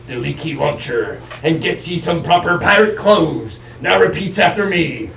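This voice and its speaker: A roomy, distant stage recording of a bossy pirate captain. The voice is gravelly and pitched high, swinging widely as it barks theatrical pirate dialect. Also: male voice, expressive, normal speed. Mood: scornful, blustering. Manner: pirate dialect, barking exclamations. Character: blustering, bossy old-time pirate captain. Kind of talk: theatrical children's stage play. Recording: roomy stage, distant mic, faint noise.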